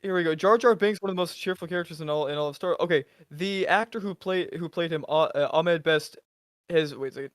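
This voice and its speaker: A man's voice: fairly high pitch, quick pace, no room sound. Podcast co-host, male, dry close mic, worked-up and argumentative, stumbling over himself. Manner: argumentative, exasperated, many restarts. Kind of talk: casual chat.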